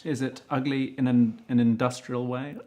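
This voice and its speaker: A man in a relaxed interview, talking in a chatty way and often cutting in with his own remarks. His voice sits at an ordinary middle pitch for a man, and his easy laugh comes through often. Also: normal speed. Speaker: interviewer and show host. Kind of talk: casual interview chat.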